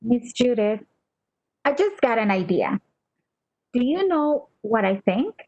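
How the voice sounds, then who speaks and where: Woman acting slow-paced devoted nurse, slightly muffled. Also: on the high side, very animated, no echo, full character voice. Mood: reassuring. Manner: gentle, reassuring, deferential. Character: faithful, soothing, deferential female nurse hiding bad news. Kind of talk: radio play acting.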